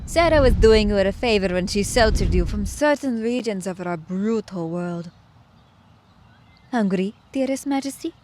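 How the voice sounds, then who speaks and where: A woman's voice: mid-range voice, expressive, moderate pace, darkish tone, a little hiss or hum, lightly acted. Woman playing a sly, theatrical immortal witch. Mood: teasing. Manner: playful, mock-courteous, theatrical delivery. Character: clever, teasing immortal witch vixen, theatrical and haughty. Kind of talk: audio roleplay acting.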